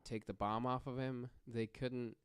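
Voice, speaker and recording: A man hosting a podcast, telling stories in a casual, halting way and correcting himself as he goes. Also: medium pitch, level tone, smooth, no echo, clean studio sound. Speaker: podcast host telling true crime stories. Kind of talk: casual conversational storytelling.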